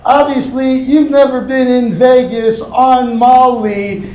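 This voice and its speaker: A young male comedian with a very high voice works a club stage in a dry, offhand, deadpan way. His set rings through a roomy space, and a loud crowd laughs behind him. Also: slow pace. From Miami, Florida. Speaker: stand-up comedian. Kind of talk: stand-up set. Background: audience laughter.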